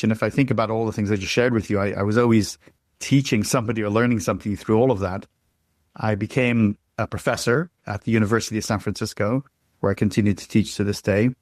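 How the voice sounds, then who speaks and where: A man's voice: on the low side, no echo, studio quality. A middle-aged man from Ireland with an Irish accent, giving a rambling interview answer with frequent restarts and fillers. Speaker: guest.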